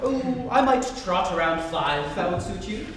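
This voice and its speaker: A young man playing an exasperated, huffy young English gentleman in an amateur reading of a stage comedy. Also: sits high, lively intonation, normal speed. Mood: polite, hesitant. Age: young adult. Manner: indignant, huffy. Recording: echoey hall, distant mic, faint noise.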